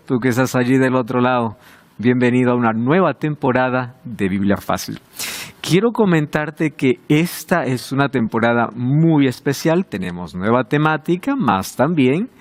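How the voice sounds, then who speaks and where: A man hosting a televised Bible study, welcoming and speaking directly to the viewers. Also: medium pitch. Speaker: host of a Bible study show.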